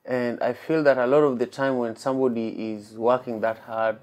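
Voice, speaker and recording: A man's voice: medium pitch, flat delivery, moderate pace. A man in his thirties hosting a podcast interview, praising his guest and leaning on "you know". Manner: warm praise, many fillers like "you know". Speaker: podcast host. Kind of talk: casual interview. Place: hotel room interview set, indoors.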